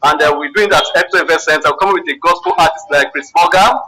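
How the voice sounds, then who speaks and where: A man's voice: fairly high pitch, very fast, pushed and bright, rough recording. A man with a Nigerian accent promoting an event as a radio guest, eagerly listing things and repeating himself. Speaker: event organiser, phone guest. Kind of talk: event promotion in a live interview.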